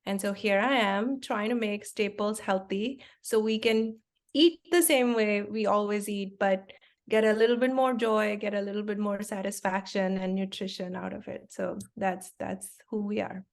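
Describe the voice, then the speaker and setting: A woman in her forties from India, a company founder, explaining her work in a relaxed interview with lots of fillers and restarts. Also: mid-range voice, normal speed. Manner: explains things simply with many fillers and restarts. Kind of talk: conversational interview.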